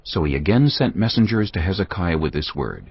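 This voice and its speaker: Man, low voice, animated scripture narration, husky, scripted, slightly muffled. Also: fairly quick.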